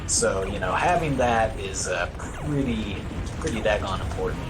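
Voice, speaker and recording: A man's voice: on the low side, animated, measured pace, over music, rough recording. A man giving casual, wry, self-deprecating live commentary as he plays through a video game as a speedrunner. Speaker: speedrunner commentating on own play.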